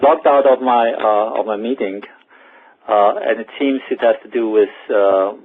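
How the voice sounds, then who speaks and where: Male meeting participant, lowish pitch, halting delivery, tinny telephone-band sound. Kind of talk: casual work call. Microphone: phone or conference call. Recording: tinny narrow phone-like call.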